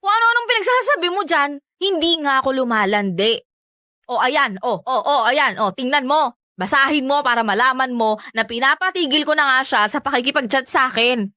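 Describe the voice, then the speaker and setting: High-pitched, defensive young Filipina girlfriend. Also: lively intonation, brisk, sharp tone, no echo, clean studio sound. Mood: indignant, hurt. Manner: emotional, protesting, conversational. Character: young Filipina girlfriend and secret mistress, defensive, exasperated, hurt. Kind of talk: Filipino radio drama acting.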